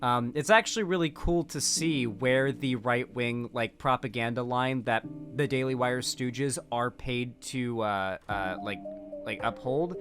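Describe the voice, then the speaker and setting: A man's voice: medium pitch, measured pace, a bit bright, faint music behind. A young man in casual streamed political commentary, rambling and sarcastic, full of fillers and restarts.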